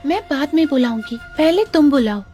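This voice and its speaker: A woman plays a young village heroine in an animated moral tale. Her voice is extremely high, assured and assertive, with music playing behind it. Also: normal speed. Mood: confident. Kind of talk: Hindi animated moral story dialogue. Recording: music under the voice.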